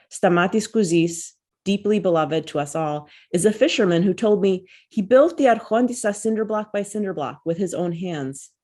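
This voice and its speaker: A woman author reading her own personal essay aloud, at a measured and reflective pace, over a video call. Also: lowish voice, mellow. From United States.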